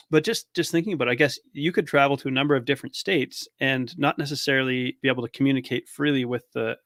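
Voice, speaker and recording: A male podcast host chats casually in a dry, close recording. His pitch is medium, and he puts questions in a curious, tentative way, often murmuring acknowledgement before he goes on. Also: gravelly, a bit bright, no echo. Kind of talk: casual interview.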